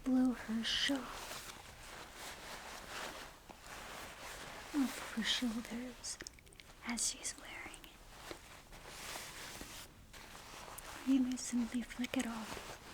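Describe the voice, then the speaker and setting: A woman voicing a ghost guide in an ASMR roleplay, in a breathy whisper with slow, hushed, deliberate instructions. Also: a little high, level tone, low-quality recording. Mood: hushed, instructive. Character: ghost guide briefing a newcomer to the afterlife. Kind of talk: soft-spoken ASMR roleplay.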